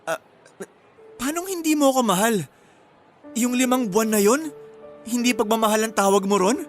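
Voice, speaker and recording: An actor playing an insecure, pleading young boyfriend in an earnest, emotional radio drama. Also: male voice, very high-pitched, lively intonation, normal speed, soft background music. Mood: desperate, pleading.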